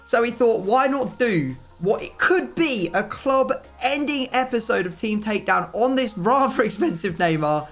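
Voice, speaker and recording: A man hosting a gaming video, hoarse from shouting, excitable and groaning with laughing disbelief. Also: very high-pitched, normal speed, few pauses, faint music behind. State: hoarse, voice strained. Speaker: YouTube gaming host. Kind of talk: casual gaming commentary.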